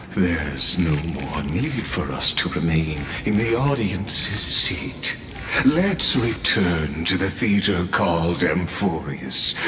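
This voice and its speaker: A man playing a calm, courtly, menacing villain in a video game, speaking formally and deliberately with theatrical poise. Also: sits low, a little hiss or hum, full character voice. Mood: coolly ominous. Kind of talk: video game story acting. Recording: roomy echo, game music behind. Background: game music.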